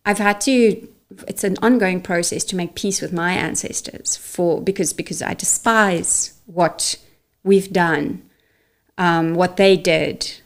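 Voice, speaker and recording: A woman's voice: fairly low pitch, steady tone, slow pace. South African woman, Afrikaans native, interview guest; outspoken, emphatic, stumbles and restarts. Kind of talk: interview conversation.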